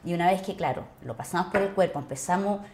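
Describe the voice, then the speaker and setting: A woman's voice: fairly low pitch, quick pace, crowd noise. A Chilean woman talking casually as an actress guest in an interview, with an emphatic manner that repeats words.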